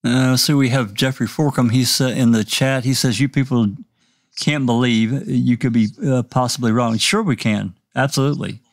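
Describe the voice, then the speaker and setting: Male host, gravelly voice, dry close sound, casual and hedging. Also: medium pitch, fairly even intonation, normal speed, no echo. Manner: reads viewer chat aloud, hedging with fillers. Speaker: livestream discussion host. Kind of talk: casual panel discussion.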